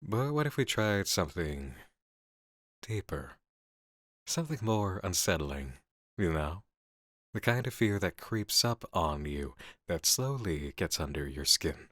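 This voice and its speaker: An actor playing a composed, cerebral male coworker in an ASMR roleplay drama, speaking persuasively with deliberate pauses. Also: low voice, very animated, fairly bright tone, dry recording, clean studio sound, full character voice. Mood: thoughtful, persuasive.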